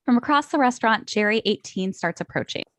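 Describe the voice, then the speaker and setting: Higher-voiced woman reading stage directions. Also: animated, brisk, dry recording. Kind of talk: scripted narration for a table read.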